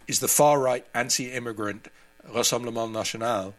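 Mid-pitched man giving conversational commentary. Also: moderate pace. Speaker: interview guest, commentator. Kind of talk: conversational analysis.

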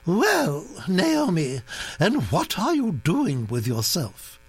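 A man reading an audiobook, voicing an imperious elderly aristocratic duchess who is brisk, bossy and disapproving. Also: high voice, huge pitch swings, slightly rough, dry recording, pitched above the performer's own voice, heavily acted.

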